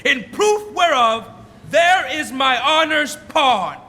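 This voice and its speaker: Slow, proud, menacing nobleman. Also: very high-pitched, expressive, background noise, exaggerated voice. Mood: cold menace. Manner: deliberate, threatening. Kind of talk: Shakespearean radio acting. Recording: long reverberant radio drama sound.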